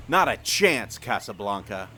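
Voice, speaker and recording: A man's voice: lowish voice, very animated, unhurried, pushed and bright, full character voice. A man playing a keen, bold adventurer sidekick in an amateur radio drama. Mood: defiant. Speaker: podcast host acting in the show. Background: music.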